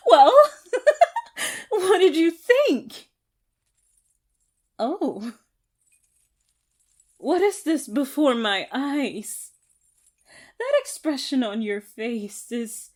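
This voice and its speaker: Casting: actress as obsessive female captor for ASMR roleplay. High voice, slow theatrical delivery, gloating and unhinged. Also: exaggerated voice. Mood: manic glee. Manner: deliberate, theatrical, broken by giggles.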